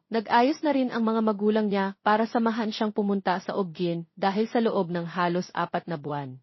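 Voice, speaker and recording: A woman's voice: mid-range voice, dry recording, highs cut off. A woman narrating a scripted love story as an audiobook reader.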